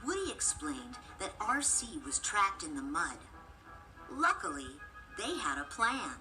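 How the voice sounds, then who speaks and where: Expressive woman storyteller over music. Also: medium pitch, unhurried, rough recording. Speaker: story narrator. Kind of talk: expressive scripted children's read-aloud.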